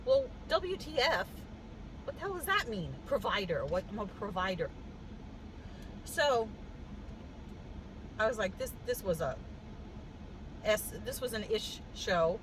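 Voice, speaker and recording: A woman with a cough telling a story in a casual vlog, animated and wry, laughing as she goes, sitting in a car. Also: medium pitch, unhurried, long pauses, noisy background. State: congested with a cough. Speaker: vlogger. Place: in a car with the air conditioning on.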